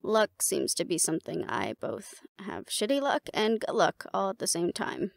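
A woman playing a battle-seasoned, fond and teasing mate in a roleplay audio drama, talking casually and intimately with a gravelly creak and hesitant pauses. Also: mid-range voice, big pitch swings, dry recording, studio quality. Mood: hesitant, pensive.

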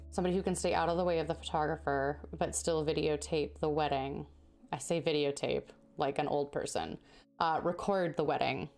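A woman's voice: low-pitched, darkish tone. A woman in her thirties chatting casually with her stream while stitching, laughing often, with a slightly sore throat. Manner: chatty, laughs often, reads and answers chat. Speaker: cross-stitch livestreamer. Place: indoor home craft room.

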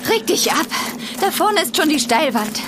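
A sharp-tongued woman mountain climber in a radio drama. Her voice is very high and lively. Clear outdoor noise sits behind her. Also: moderate pace. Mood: teasing. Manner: teasing, then panicked.

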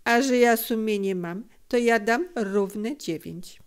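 A woman's voice: on the high side, slow. A woman voicing a phlegmatic, self-righteous male junk dealer haggling in an audiobook story, in sing-song phrases.

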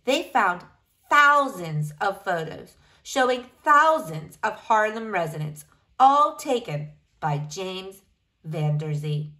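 A woman reading a picture book aloud in an expressive, deliberate storytelling style. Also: mid-range voice. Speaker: reader of a children's picture book.

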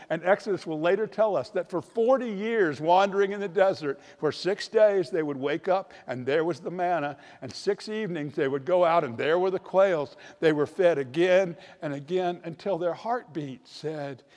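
An older man preaching a sermon with a storytelling manner, slowing down to stress key points, recorded in a large church on a handheld mic. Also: fairly high pitch, darkish tone. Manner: storytelling, varied emphasis, slows for key points. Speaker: priest. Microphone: handheld microphone. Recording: long church reverb.

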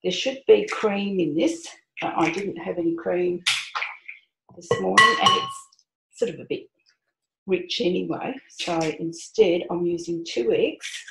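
An older woman talks through a recipe in her own home kitchen in a chatty, homely way. Her voice sits low and has a gravelly edge. The camera rests on the counter, so the sound carries the echo of the kitchen, with clear background noise and clicks. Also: unhurried. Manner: chatty, homely explanations while working. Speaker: cooking show host. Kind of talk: home cooking demonstration.

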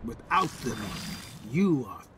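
An actor playing a haughty, threatening fighter in a video game, speaking theatrically. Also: male voice, mid-range voice, sing-song. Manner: slow, theatrical. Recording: very noisy, slightly distant game mix.

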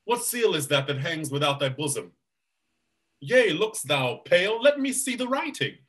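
A man playing a grave, loyal duke, telling the story in measured verse on a video call. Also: on the high side, sing-song, fairly bright tone. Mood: alarmed. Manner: measured storytelling, bursting into alarm. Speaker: actor in a staged reading. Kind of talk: Shakespeare verse reading. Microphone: webcam on a video call.

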